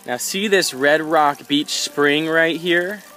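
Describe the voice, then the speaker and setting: A man's voice: fairly high pitch, slow. A young man cheerfully promoting his meetup group in a casual vlog, filmed outdoors by a spring on a phone held at arm's length. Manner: upbeat and enthusiastic. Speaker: meetup organizer. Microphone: phone camera at arm's length. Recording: background music under voice.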